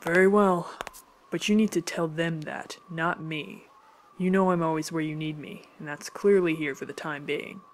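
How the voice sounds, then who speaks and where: A man playing a stern, loyal, skeptical second-in-command in an animated fantasy drama, speaking in a dry, formal, matter-of-fact way. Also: a little high, moderate pace, slight background noise, close to an everyday voice. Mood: resigned reproachful. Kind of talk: scripted animated drama dialogue.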